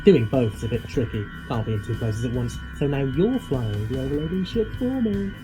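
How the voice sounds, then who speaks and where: A man's voice: mid-range voice, animated, normal speed, lightly acted, low-quality recording. An actor playing a reckless, obsessive, flippant monster hunter in an audio drama, wordy and wry in his theatrical delivery. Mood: gleefully sly. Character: reckless, obsessive male eccentric hunting a monster. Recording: music behind the voice.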